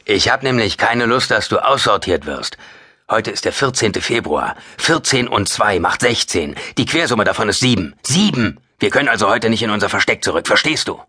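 A man's voice: on the low side, lively intonation, moderate pace, pushed and bright, husky, dry recording, clean studio sound, voice pushed up, full character voice. A man voicing a short, chatty, paranoid homeless man in an audiobook, rambling insistently and nervously. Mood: agitated, conspiratorial.

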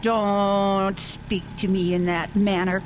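A woman's voice: mid-range voice, little pitch movement, slow, slight background noise, lightly acted. A woman playing a haughty, indignant old grandmother in a radio drama, imperious and easily affronted. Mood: outraged, demanding. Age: older.

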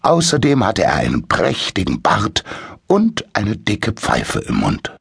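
A man with a husky, gravelly voice narrating a children's story in an expressive, dramatic way. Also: fairly low pitch, a little slow, no echo, studio quality. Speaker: audiobook narrator.

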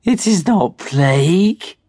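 An actor playing an old drunk with a long, stained grey beard, speaking slowly and insistently. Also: male voice, sits high, animated, mellow, husky, no echo, clean studio sound, full character voice. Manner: slow, insistent.

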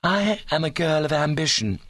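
A man's voice: on the high side, expressive, normal speed, fairly bright tone, no room sound, voice pushed up. A man voicing an ambitious, haughty young woman with an earnest, prim, scornful manner in a comic story reading. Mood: earnest, frank.

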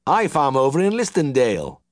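A man's voice: medium pitch, huge pitch swings, brisk, no room sound, clean studio sound, voice pushed up. A man voicing a smug little old male farmer with a nasal West Riding Yorkshire twang, forever praising another vet. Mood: needling boastful.

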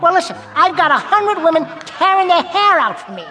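Shrill, brash ventriloquist's dummy. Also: male voice, sing-song, brisk, sharp tone, a faint crowd, full character voice. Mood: cocky. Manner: brassy, taunting vaudeville patter. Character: brash wisecracking ventriloquist's dummy named Willie, small and cocky. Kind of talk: radio drama comedy patter.

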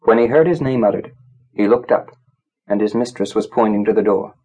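A man narrating a literary story from a script, in a measured, grave, dramatic storytelling manner. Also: on the low side, warm tone, breathy edge, rough recording. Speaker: audiobook narrator.

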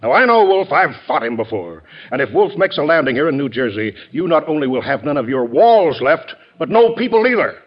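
Exasperated army general, American accent, highish pitch, emphatic, old radio drama, muffled vintage sound. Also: male voice, big pitch swings, a little fast, few pauses, slightly rough. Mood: urgent, blustering. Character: blustery, hard-pressed army general, exasperated and plainspoken. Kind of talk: classic radio drama acting. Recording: dull vintage radio recording.

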